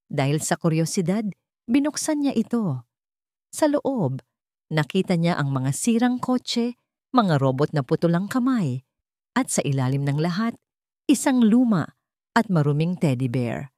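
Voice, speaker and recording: A woman narrating a scripted audio drama in a measured, suspenseful storytelling manner. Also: fairly low pitch, very animated, warm tone, no room sound, studio quality.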